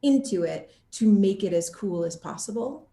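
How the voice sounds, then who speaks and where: A woman actor chatting casually in an interview and telling anecdotes in an animated, laughter-prone way. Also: mid-range voice, moderate pace, vocal fry, echoey room, slight background noise.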